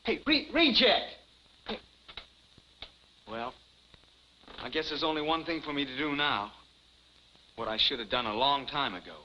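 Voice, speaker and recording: A hapless young fellow, flustered and earnest in old television comedy style. His voice runs high for a man. The sound is muffled, with clear hiss and noise. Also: expressive, unhurried, long pauses, sharp tone. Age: young adult. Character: hapless, anxious, earnest young male inventor. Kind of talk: 1950s television comedy acting.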